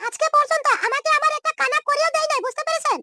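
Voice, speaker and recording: A man's voice: very high voice, steady tone, very fast, bright, forceful tone, no echo, clean studio sound, heavily acted. A man voicing a shameless, quarrelsome, scheming young woman in an amateur comedy cartoon, ranting and taunting through a chipmunk-like voice effect. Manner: scolding, ranting in short repeated taunts.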